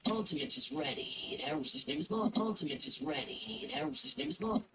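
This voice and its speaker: A video game combat character firing off short status callouts in a high voice. The pitch leaps around in clipped looped phrases, with clicks and faint noise around it. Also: male voice, big pitch swings, fairly quick, gravelly, a bit bright, heavily acted. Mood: alert, clipped. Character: video game character announcing weapon and ultimate readiness.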